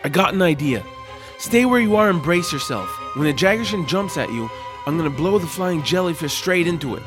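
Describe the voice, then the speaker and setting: A man playing an eager, earnest young hero in a family audio drama, excitable and wide-eyed. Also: fairly high pitch, fairly quick, close to an everyday voice. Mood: urgent. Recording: music under the voice.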